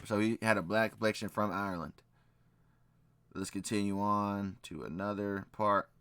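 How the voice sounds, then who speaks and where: Low, bright-toned man reading records. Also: fairly even intonation, moderate pace, clear, resonant tone, no room sound. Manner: emphatic, repeats key phrases, asks "right?". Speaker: channel host reacting to a video. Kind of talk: casual commentary, reading documents aloud.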